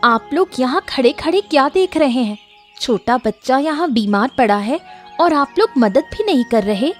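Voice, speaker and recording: A woman's voice: high voice, lively intonation, moderate pace, faint music behind. A woman voicing a caring but scolding elder sister who runs a hotel, in an urgent, emphatic cartoon story style. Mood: indignant, urgent. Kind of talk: Hindi cartoon moral story acting.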